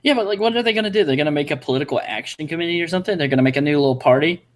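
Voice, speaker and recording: A man's voice: on the high side, very fast. A man chatting casually on a group stream, crude and joking. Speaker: stream co-host.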